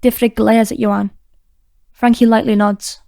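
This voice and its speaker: Narrator, female: scripted crime audiobook reading, mellow tone, slightly high register, steady. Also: moderate pace, creaky, no room sound, clean studio sound.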